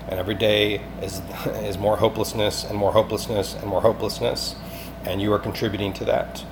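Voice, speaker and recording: A low-pitched man speaks in a rambling, insistent monologue, as a caller leaving a voicemail. He is agitated and repeats himself, with some profanity. Clear noise runs through the recording. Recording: clearly noisy amateur phone-call recording.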